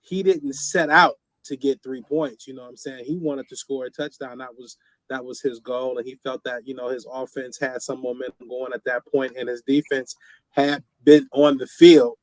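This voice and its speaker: Slightly hoarse man, laid-back football talk. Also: mid-range voice, level tone, normal speed, no room sound, rough recording. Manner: laid-back, fillers like "you know what I'm saying". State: a little hoarse from a cold. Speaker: sports show co-host. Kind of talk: casual sports talk.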